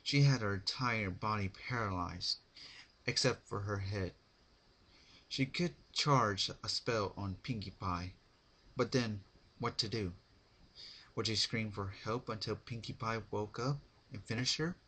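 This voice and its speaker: A man narrating horror fan fiction as a dramatic reading, halting and stumbling over words now and then. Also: lowish voice, fairly bright tone, slightly rough.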